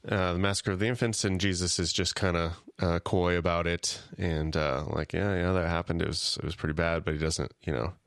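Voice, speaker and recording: A man with a low, smooth, resonant voice co-hosts a podcast, recapping scenes in easy conversation and slipping into quick paraphrased bits of dialogue. The sound is close and dry, with no room around it. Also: fairly even intonation, dry recording. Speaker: podcast co-host. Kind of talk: casual chat.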